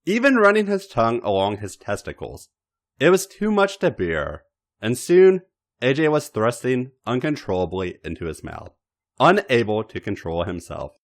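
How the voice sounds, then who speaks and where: A man hosting a podcast and narrating erotic fiction in a theatrical, drawn-out way. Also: medium pitch, very animated. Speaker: podcast host and story reader.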